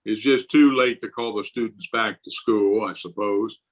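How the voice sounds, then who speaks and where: A man's voice: lowish voice, fairly even intonation, moderate pace, lightly acted. A man playing an exasperated, pompous middle-aged school principal in an old-time radio comedy style. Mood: blustering, outraged. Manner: pompous, indignant, theatrical. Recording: remote video-call recording.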